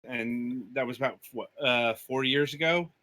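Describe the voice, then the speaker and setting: A man's voice: medium pitch, unhurried, bright, forceful tone. A man hosting an interview show, asking questions in a loose, chatty way full of fillers. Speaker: podcast interview host.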